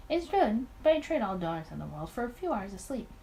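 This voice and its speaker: Tired, awkward detective narrator for a visual novel , read by a woman, animated intonation. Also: mid-range voice, fast talker, creaky, a little hiss or hum. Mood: weary, awkward.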